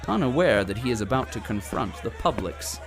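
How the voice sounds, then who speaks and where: A man's voice: mid-range voice, big pitch swings, brisk, over music, a crowd in the background. A man acting as a grandiose corporate propaganda narrator in an audio drama, with a grand, unctuous dramatic delivery. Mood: ominous.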